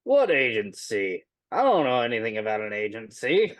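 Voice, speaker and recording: A man's voice: mid-range voice, sing-song, moderate pace, no room sound. Male tabletop player, dry close sound. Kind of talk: casual in-game banter.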